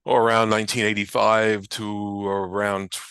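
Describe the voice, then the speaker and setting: Older man with a low voice, dry sound. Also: flat delivery, normal speed, a bit bright, clear, resonant tone, no room sound. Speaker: interview guest, old-time radio researcher. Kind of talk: casual reminiscing chat.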